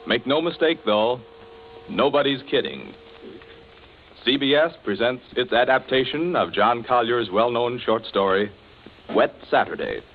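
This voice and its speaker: A man's voice: mid-range voice, animated, unhurried, slight background noise. A man announcing and narrating a 1940s radio drama with emphatic, dramatic delivery. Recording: lo-fi old radio broadcast with music.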